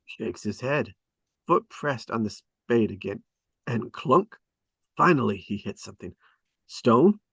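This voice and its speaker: A man's voice: mid-range voice, animated, slow, long pauses, husky, dry recording. A man narrating a script at a table read, reading stage directions dramatically in short, clipped phrases.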